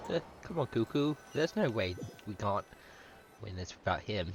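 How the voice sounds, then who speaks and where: A woman's voice: a bass voice, big pitch swings, moderate pace, background noise. A woman giving casual gameplay reactions in an offhand, sweary way. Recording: game music behind the voice.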